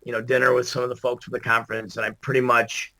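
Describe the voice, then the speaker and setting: A hoarse, gravelly middle-aged man, worn out after an all-nighter, chatting as a podcast guest with lots of fillers and laughs. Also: medium pitch, completely flat delivery, fast, bright, forceful tone, low-quality recording. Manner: rambling, laughs often, many fillers. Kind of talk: casual interview chat.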